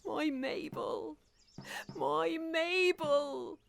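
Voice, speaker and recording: High, creaky, grief-maddened heroine. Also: female voice, exaggerated voice. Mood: anguished grief. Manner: anguished, drawn-out theatrical delivery. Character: grief-stricken mad woman, fragile and haunted. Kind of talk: Victorian melodrama audio acting.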